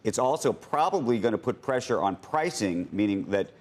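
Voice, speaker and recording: A man with an American accent working through roundabout, halting questions as a TV news anchor in a conversational style. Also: lowish voice, expressive.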